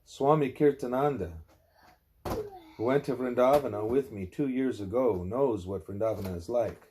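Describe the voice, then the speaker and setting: A man with a measured, plain-spoken way of talking, reading aloud or speaking as a parent at home. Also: medium pitch, mellow, a little hiss or hum. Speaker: reader, parent putting a child to bed. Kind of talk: reading a religious text aloud, with quiet parental chat.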